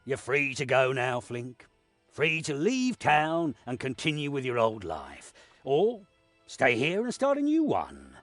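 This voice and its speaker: A man's voice: medium pitch, huge pitch swings, slow pace, fairly bright tone, no room sound, faint music behind, heavily acted. A man voicing an earnest, commanding hero in a fantasy video game, solemn and dramatic. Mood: gentle, persuasive. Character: earnest, commanding young-hearted hero leading against orcs.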